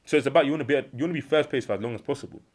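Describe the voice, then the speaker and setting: A man's voice: racing, darkish tone. A young man with a British accent giving an earnest motivational monologue, repeating key points for emphasis and acting out little imagined exchanges. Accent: British English. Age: young adult. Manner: acts out imagined dialogue in a lower voice. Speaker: self-improvement vlogger.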